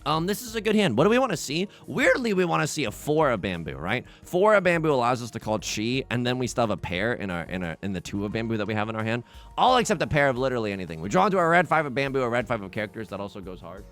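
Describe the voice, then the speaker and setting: Young man, excitable gameplay commentator; lively up-and-down delivery, jokey, trips over restarts, faint game music underneath. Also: medium pitch, fast talker. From China, raised in the US. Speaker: let's play host.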